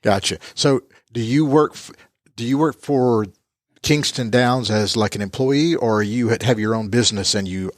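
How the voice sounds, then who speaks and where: A man's voice: medium pitch, moderate pace, no echo, studio quality. Rambling, joking male radio host. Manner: rambling, joking, many restarts. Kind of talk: casual radio interview.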